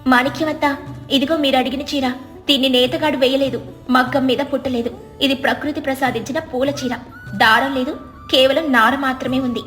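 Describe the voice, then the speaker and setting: A woman's voice: sits high, fairly even intonation, normal speed, fairly bright tone, faint music behind. A woman voicing a clever, confident young daughter-in-law in a moral story. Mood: proud, challenging.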